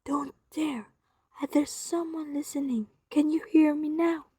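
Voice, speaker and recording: A woman's voice: high-pitched, fairly even intonation, measured pace, dark tone, no echo, clean studio sound. A woman acting a nervous, demanding invalid wife in a suspense radio play, imperious and pleading. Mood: terrified, pleading.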